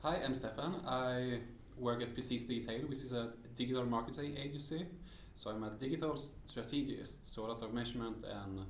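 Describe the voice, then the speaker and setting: Male panelist, upbeat, mid pitch, roomy echo. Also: fairly quick, hardly any pauses, slight background noise. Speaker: panelist, digital strategist. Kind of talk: panel discussion.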